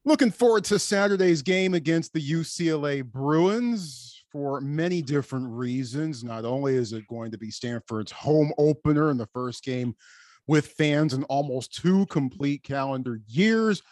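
A man's voice: fairly high pitch, animated, a little slow, darkish tone, no room sound, clean studio sound. An American man hosting a sports talk show, talking in long, emphatic phrases as he sets up his questions. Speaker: sports podcast host.

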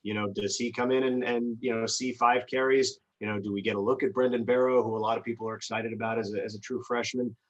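A man's voice: medium pitch, flat delivery, fast, hardly any pauses, highs cut off. An American man who works as a radio sports announcer, giving conversational analysis in an emphatic, discursive way, thinking aloud as he goes.

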